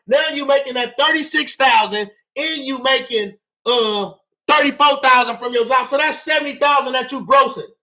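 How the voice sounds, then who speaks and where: A man giving a fired-up livestream lecture, loud and often yelling, repeating phrases and asking the audience to answer, his voice strained from shouting. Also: very high-pitched, low-quality recording. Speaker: livestream real estate teacher.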